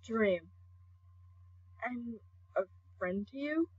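A woman voicing a brooding, hot-tempered, guilt-ridden male skeleton royal guard in an amateur comic dub, halting and intense. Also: a little high, expressive, slightly rough, no echo, full character voice. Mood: subdued, guilty. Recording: dull lo-fi home recording.

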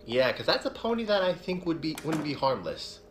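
A man's voice: a little high, very animated, fairly quick, slight background noise. A man in his twenties giving casual live reaction commentary in a musing way, with a little trouble on th sounds. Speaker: host of a reaction video. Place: small living room, indoors. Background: music and video audio.

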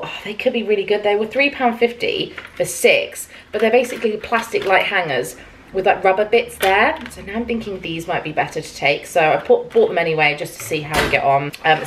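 Young woman, casual haul chat, upbeat, lowish voice, camera mic in a home kitchen. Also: slight background noise. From England. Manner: chatty and upbeat, lively swings in pitch. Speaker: vlogger.

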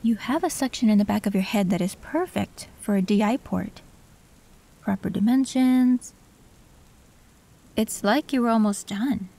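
A woman voicing a female cyberpunk tech who works on an android, calm and matter-of-fact, in an audio roleplay. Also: medium pitch, measured pace, creaky, pauses often, poor audio.

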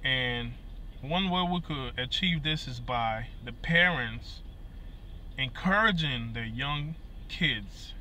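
Bright-voiced Liberian man in a car. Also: mid-range voice, slow, slight background noise. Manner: earnest, fillers and repeated words. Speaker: musician and vlogger. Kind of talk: casual talk to camera. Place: inside a parked vehicle. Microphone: phone camera at arm's length.

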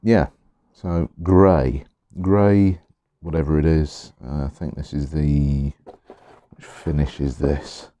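A middle-aged man, slightly hoarse, chatting casually as he unboxes pens at his desk, rambling with many pauses and restarts. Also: extremely low pitch, fairly even intonation, warm tone, no room sound. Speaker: pen collector vlogger. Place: indoors at a desk, overhead camera on hands.

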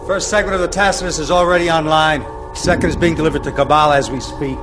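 A man's voice: on the high side, fairly even intonation, fast, noisy background, close to an everyday voice. A middle-aged man playing a stern, commanding general in a video game cutscene, clipped and authoritative. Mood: stern, measured.

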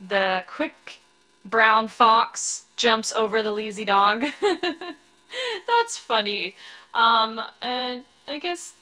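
A woman's voice: fairly high pitch, animated, extremely slow, pushed and bright, low-quality recording. A synthetic female voice reading a short text sample.

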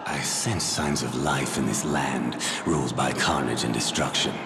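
A man's voice: extremely low pitch, fairly even intonation, unhurried, heavy background noise. A man voicing a bitter, resentful walking skeleton who speaks grimly in archaic words. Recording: echoey with loud game music.

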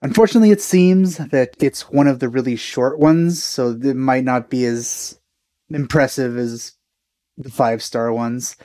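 A man's voice: medium pitch, measured pace, warm tone, dry recording. Enthusiastic man, dry close recording. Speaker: reaction video host. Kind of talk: casual reaction commentary. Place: small indoor room.

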